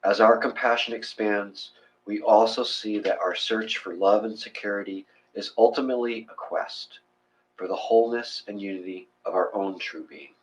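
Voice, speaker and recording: A man leading a guided meditation and reading aloud in a measured, gentle, instructional way. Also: on the low side, fairly even intonation, poor audio.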